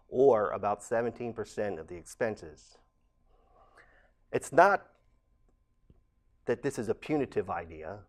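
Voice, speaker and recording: A man speaks in a somewhat low voice. He talks in a measured, earnest, formal way. He is a local election candidate making his case to viewers. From Ohio, USA. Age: late 40s. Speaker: council president candidate. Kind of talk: campaign statement to camera.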